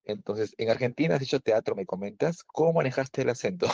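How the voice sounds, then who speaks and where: A man from Peru hosting an interview, asking questions in a measured, affirming way. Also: medium pitch, lively intonation, gravelly, darkish tone, dry recording. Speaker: interviewer host. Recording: dull, dry sound.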